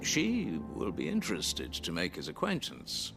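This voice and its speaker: An actor playing a coolly appraising lord in a video game, gravelly, with a formal, wry and authoritative manner. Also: male voice, deep voice, huge pitch swings, measured pace, over music, exaggerated voice. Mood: commanding. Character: haughty, coolly appraising lord in command of henchmen. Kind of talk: dramatic video game acting.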